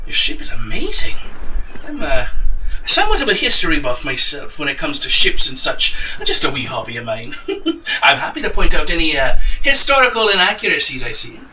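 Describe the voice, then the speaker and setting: A man's voice: on the high side, huge pitch swings, fairly quick, bright, forceful tone, far from the mic, a little hiss or hum, exaggerated voice, rough recording. A man playing a chatty, eager, fussy wildlife expert in an audio drama. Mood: excited, amiable. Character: excitable, friendly, fussy doctor and wildlife expert.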